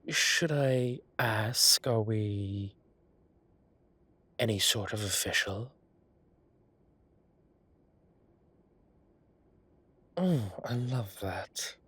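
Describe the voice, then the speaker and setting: A man playing an ancient, teasing godlike being with a soft romantic streak, in a theatrical, drawling and indulgent manner for a roleplay audio drama. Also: on the low side, takes it slowly, breathy edge, no echo, full character voice. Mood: delighted, affectionate.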